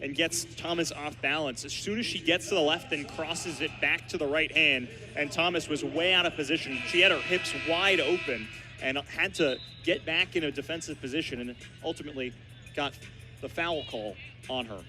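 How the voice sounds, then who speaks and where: A man's voice: on the high side, moderate pace, over music. A man giving explanatory, analytical color commentary during a live basketball broadcast.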